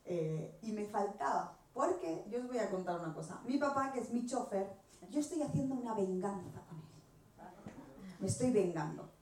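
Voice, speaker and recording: A woman in her forties from Spain, with an accent from Spain, giving an animated personal testimony as a missionary speaking to a church gathering. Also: medium pitch, normal speed. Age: 40s. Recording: echoey room, distant microphone.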